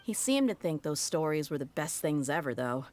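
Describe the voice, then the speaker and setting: A woman's voice: low voice, very animated, normal speed, a little mellow, a little hiss or hum. A woman voicing a tough young female mercenary in a game, talking in a frank, wry, matter-of-fact way. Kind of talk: video game cutscene dialogue.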